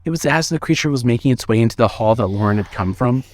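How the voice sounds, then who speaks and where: A man narrating a scripted suspense story in a measured, dramatic storytelling manner. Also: medium pitch, lively intonation.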